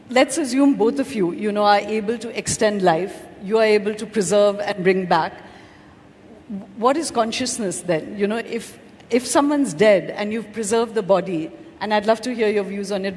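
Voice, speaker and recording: A woman's voice: medium pitch, moderate pace, darkish tone. A woman moderating a conference panel, asking probing questions in an informal way, thinking aloud as she goes. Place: large conference hall. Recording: reverberant hall with faint noise.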